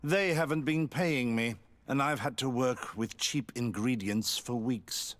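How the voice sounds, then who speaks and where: An actor playing a disgruntled, overworked alchemist in a video game, complaining in a sardonic way. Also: male voice, mid-range voice, measured pace, fairly bright tone, natural voice. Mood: bitter, aggrieved.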